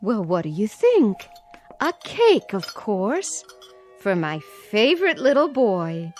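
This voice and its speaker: An actress playing an anxious, doting aunt in a radio drama, sweetly coaxing and nervously repeating herself. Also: female voice, medium pitch, sing-song, takes it slowly, faint music behind. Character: anxious, doting aunt placating a feared nephew.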